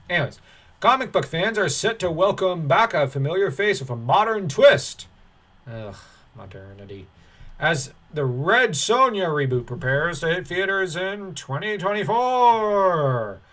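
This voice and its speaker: A man's voice: a little high, fairly bright tone. A man reading an article aloud as a commentator, breaking off into dismissive, sardonic, off-the-cuff asides. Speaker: online commentator.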